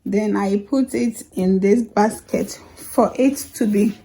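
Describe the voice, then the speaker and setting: A Ghanaian woman talking casually to her vlog viewers, her voice hoarse from the dry harmattan air. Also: mid-range voice, slow, dark tone. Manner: chatty, mixes in local sayings. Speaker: vlogger.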